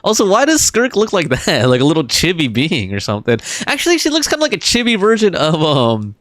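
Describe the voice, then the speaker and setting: A woman's voice: low-pitched, huge pitch swings, fairly quick, no echo. A woman doing casual live game commentary, slangy and wondering aloud as she reacts. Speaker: game streamer.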